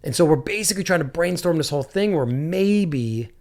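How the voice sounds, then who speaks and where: A man's voice: on the high side, a little fast. A man hosts a casual podcast, spinning a long story about a trip and a friend. He slips into the voices of the people he quotes and keeps the talk loose and chatty. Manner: animated storyteller, acts out quotes. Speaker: podcast host.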